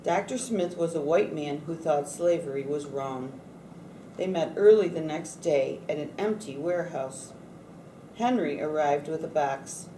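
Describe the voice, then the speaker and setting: A woman reading a picture book aloud from a script in a measured way, bringing some expression to the quoted speech. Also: low voice, warm tone, recorded from a distance. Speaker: storybook reader at a read-aloud event. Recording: roomy echo, faint background noise.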